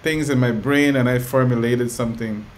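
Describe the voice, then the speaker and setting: A man talking as a guest, calmly explaining ideas and often checking in with "you know.". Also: medium pitch, moderate pace. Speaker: guest musician and educator. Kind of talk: relaxed conversational storytelling.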